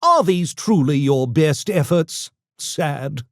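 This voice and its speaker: An actor voicing a smug, erudite genius gremlin for a video game, with pompous, mock-genteel, theatrical diction that tips into gleeful mania. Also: male voice, a little high, expressive, takes it slowly, no room sound, studio quality. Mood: wry, condescending. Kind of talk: video game character voice lines.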